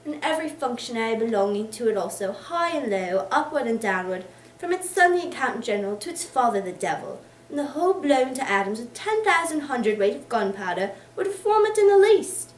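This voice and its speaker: Woman acting a blustering older gentleman, high voice, swooping intonation, theatrical tirades, Victorian novel reading. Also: very animated, fairly quick. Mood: indignant, blustering. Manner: emphatic, theatrical declaiming in long tirades. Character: hearty, explosive, blustering older English gentleman. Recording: echoey room, distant mic, faint noise.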